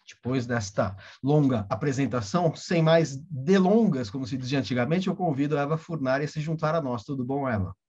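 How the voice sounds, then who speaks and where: Guy hosting a library talk, husky n kinda muffled, rambling restarts. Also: mid-range voice, fairly quick, hardly any pauses, a little mellow. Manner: chatty, hesitant restarts. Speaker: host. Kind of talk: casual interview chat. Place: library event. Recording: muffled lo-fi audio.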